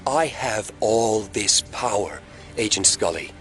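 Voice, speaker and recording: An actor playing a scheming telekinetic young man in an audio drama, speaking in a measured, controlling and ominously earnest way. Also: lowish voice, animated, fairly bright tone, soft background music. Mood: grave, confiding.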